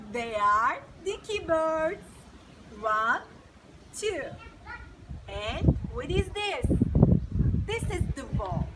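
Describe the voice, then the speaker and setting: A woman storyteller teaching young children, telling a simple story in short, deliberate sentences and asking questions. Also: high voice, background noise.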